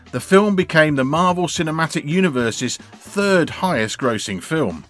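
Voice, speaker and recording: Guy narrating off a script, kinda high voice, even steady read. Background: faint background music.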